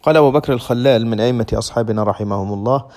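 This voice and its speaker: Guy lecturing fast on law, keeps rephrasing each point, mid voice. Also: mid-range voice, a little mellow. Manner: methodical, restates each point in simpler words. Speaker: Islamic law teacher. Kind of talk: lecture explaining a legal text.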